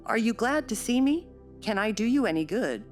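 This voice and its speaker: Woman voicing young lady, earnest, gentle, willful, soft manner, classic novel reading. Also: fairly high pitch, sing-song, moderate pace, faint music behind, voice pushed up. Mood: tender.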